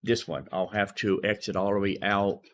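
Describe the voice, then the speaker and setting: A man's voice: lowish voice, steady tone, normal speed, fairly bright tone. A man live streaming a video game, commentating casually as he thinks aloud and repeats himself.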